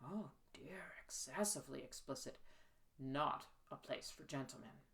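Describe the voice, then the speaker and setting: Woman as prim Regency gentleman, flustered, hesitant, fussy murmur, easily shocked, audiobook character. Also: a bass voice, a little slow, creaky, heavily acted. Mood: shocked whisper. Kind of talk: literary audiobook character reading.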